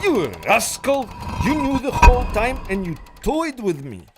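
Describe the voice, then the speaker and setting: A man's voice: very high voice, sing-song, a little slow, over loud music, heavily acted. An actor playing a pompous, scheming male villain who is a thief of antiquities, haughty and theatrical. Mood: furious. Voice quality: haughty and theatrical, spits insults. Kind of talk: audio drama acting.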